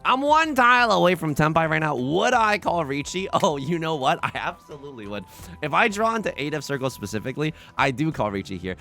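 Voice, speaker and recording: Young man, excitable gameplay commentator; lively up-and-down delivery, jokey, trips over restarts, faint game music underneath. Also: fairly high pitch, a little fast. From China, raised in the US. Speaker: let's play host.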